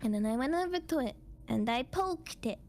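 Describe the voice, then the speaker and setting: A woman's voice: high-pitched, animated, normal speed, a little mellow, a little hiss or hum. A woman chatting casually as a streamer, telling stories in a cutesy, playful way with Japanese words sprinkled in. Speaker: VTuber streamer. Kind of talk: casual storytelling chat.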